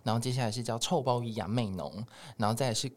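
A Taiwanese-accented man speaks as a guest music producer on a casual podcast. His voice sits at a middle pitch, and he explains things in lively detail. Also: fast. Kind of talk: casual podcast interview chat.

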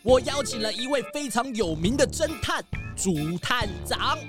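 A highish, wildly swinging creature voice, stutters and squawks as an excitable locksmith in a children's radio play, with music behind. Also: female voice, a little slow. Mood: dutiful, excitable. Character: excitable, squawking animal locksmith, dutiful and eager. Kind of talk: children's audio drama acting.

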